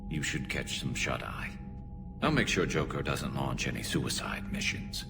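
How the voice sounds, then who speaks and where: A man voicing a loyal, calm alien soldier in a video game, gravelly and quietly caring with a dry edge. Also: very deep voice, unhurried, full character voice. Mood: concerned. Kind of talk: dramatic video game acting. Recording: loud music over the voice.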